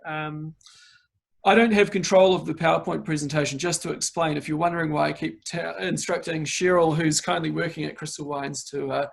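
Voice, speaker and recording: A man with a New Zealand accent presents a tasting over a video call. His voice is gravelly and a little husky, and it sits somewhat high for a man. He talks in a relaxed way, with many fillers. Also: a little fast.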